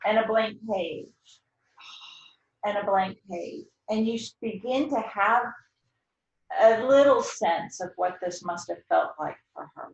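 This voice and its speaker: A woman talking as a host in a reflective, conversational storytelling style, repeating phrases for deliberate dramatic emphasis. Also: mid-range voice, lively intonation, creaky, reverberant, lo-fi sound. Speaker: host introducing and discussing a book.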